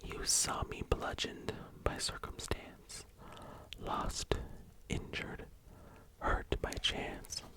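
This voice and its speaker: A young adult ASMR host speaking and reading poetry in a breathy, gentle whisper, lingering on the words. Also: drawn-out words, rough recording.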